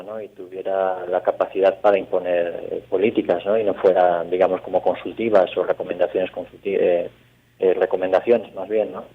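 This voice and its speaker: Man from Spain, phone-in expert guest, low flat voice, hesitant and explanatory, tinny phone line. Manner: hesitant, many fillers, explanatory. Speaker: urban mobility expert guest. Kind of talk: radio interview by phone. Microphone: telephone.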